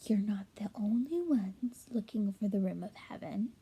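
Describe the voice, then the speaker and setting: A woman's voice: mid-range voice, lively intonation, warm tone, husky, exaggerated voice. Woman voicing a haughty little male rat mapmaker. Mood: secretive whisper. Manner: haughty, quick to take offence.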